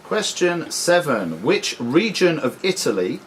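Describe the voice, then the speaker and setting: A man reading questions and giving rulings as quizmaster, deliberate and formal. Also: on the high side, big pitch swings. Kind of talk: reading quiz questions at a live club quiz. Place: sports and social club room. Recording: clearly noisy live club recording.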